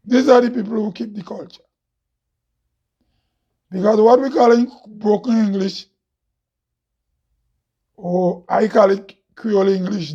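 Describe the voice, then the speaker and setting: A man with a Grenadian accent talks in a loud, heavy voice that sits fairly high and sounds husky. He preaches with rhetorical questions and frequent laughs. The recording is dull and lo-fi. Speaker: host. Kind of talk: impassioned monologue.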